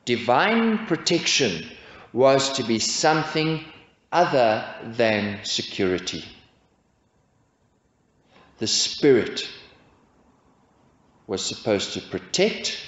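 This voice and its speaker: A man in his forties preaching a sermon from a pulpit, asking his congregation rhetorical questions in a measured way. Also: medium pitch, animated, fairly bright tone. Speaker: pastor. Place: church, indoors at a pulpit. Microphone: pulpit stand mic. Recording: echoey church, dull lo-fi sound.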